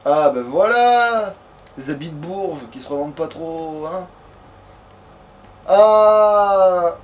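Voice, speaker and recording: A man's voice: sits high, animated, slow. A man giving casual, sweary let's play commentary, often muttering to himself as he plays. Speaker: let's player. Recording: clearly noisy background.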